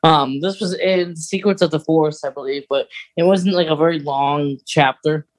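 This is a podcast co-host in relaxed conversation. The voice sits at a medium pitch. The delivery wanders and circles back to correct itself. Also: moderate pace. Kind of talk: casual chat.

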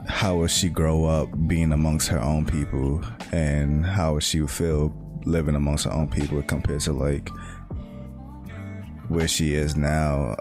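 A young American man talking in a halting, reflective way, full of "like" fillers, in a casual monologue. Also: a bass voice. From United States. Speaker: speaker sharing personal reflections. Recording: guitar music playing underneath.

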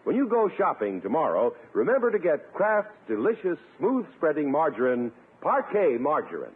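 A man's voice: a little high, wildly swooping pitch, normal speed. A man announcing in polished, emphatic 1950s radio style, reading scripted ads and credits. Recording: narrow-band 1950s radio recording. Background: music.

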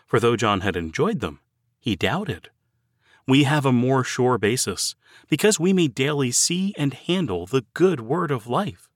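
A man narrating a written devotional sermon in a measured, earnest, formal oratorical manner. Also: medium pitch, animated, dry recording, clean studio sound. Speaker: audiobook narrator reading a sermon. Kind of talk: scripted devotional sermon reading.

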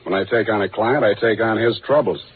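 An actor playing a tough, cynical, wisecracking private detective, terse and deadpan, in 1940s radio drama style. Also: male voice, on the low side, brisk, a little hiss or hum, close to an everyday voice. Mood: businesslike. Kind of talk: 1940s hard-boiled radio drama acting. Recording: muffled old-time radio recording, faint music.

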